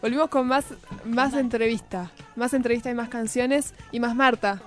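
A woman's voice: fairly high pitch, steady tone, moderate pace, soft background music. A woman with an Argentine Spanish accent presenting a live radio show in a casual, chatty style. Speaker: radio host. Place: radio studio booth. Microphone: studio microphone with headphones.

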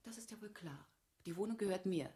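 An actress playing a scornful, sharp-tongued wife in a radio drama, with biting, sarcastic indignation. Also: female voice, mid-range voice, brisk, close to an everyday voice. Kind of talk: naturalistic German radio drama acting.

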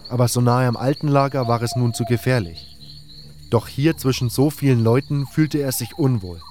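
A man's voice: medium pitch, normal speed, a little mellow, slight background noise. A man reading scripted first-person narration for a fantasy audio drama. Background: music.

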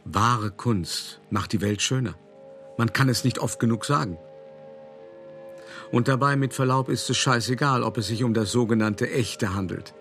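An actor playing an elderly, wry and weary art dealer who recounts his life in a measured, dramatic way in a radio play monologue. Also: mid-range voice, fairly bright tone, faint music behind, lightly acted. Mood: reflective, rueful. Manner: measured, dramatic, cultivated raconteur.

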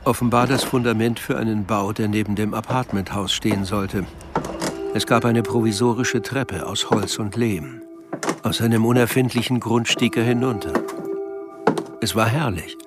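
A man's voice: on the low side, normal speed, over loud music, close to an everyday voice. A man narrating a melancholy literary story in an audio drama. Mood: measured narration. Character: male narrator of a melancholy novel.

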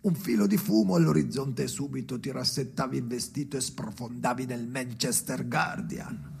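A man's voice: mid-range voice, moderate pace, creaky, noisy background, lightly acted. An actor playing a bitter, haunted husband and father in a literary radio drama, brooding and theatrical. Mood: bitter, nostalgic. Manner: theatrical, brooding, swinging to sudden outbursts.

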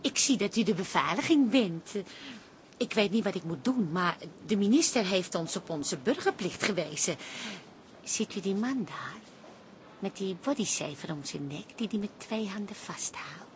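An actress playing an exasperated, scolding, sly wife on holiday in a radio comedy. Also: female voice, mid-range voice, expressive, moderate pace, close to an everyday voice. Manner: scolding, emphatic. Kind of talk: Dutch radio comedy drama acting. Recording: faint background ambience noise.

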